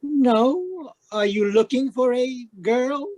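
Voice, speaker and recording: A woman's voice: high-pitched, lively intonation, slow pace, mellow, full character voice. Woman playing a polite, gossipy radio hotel clerk. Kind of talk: old-time radio drama acting. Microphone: video call.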